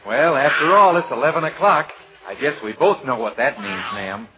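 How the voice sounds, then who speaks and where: A man's voice: a little high, big pitch swings, moderate pace. An actor playing an easygoing, earnest young American man, chatty and folksy, in old radio comedy style. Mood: friendly, teasing. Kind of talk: 1940s radio comedy acting. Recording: muffled, noisy old radio broadcast.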